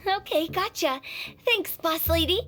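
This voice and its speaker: A woman's voice: very high voice, lively intonation, a little slow, sharp tone, soft background music, exaggerated voice. A woman voicing a playful, brash young female director in an anime game, theatrical and self-assured. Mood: cheerful, boastful.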